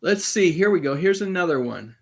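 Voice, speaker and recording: A man's voice: high-pitched, animated, a little fast. A man hosting a livestream, chatting casually and reading out viewer questions in a friendly, courteous manner. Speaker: livestream host.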